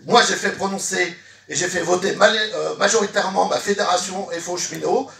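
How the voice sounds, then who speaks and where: A man's voice: high-pitched, fairly even intonation, a little fast, vocal fry, fairly bright tone. A man speaking as a trade unionist at a public meeting, recorded from a distance in an echoey room. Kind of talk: public meeting speech, partly reading a statement aloud.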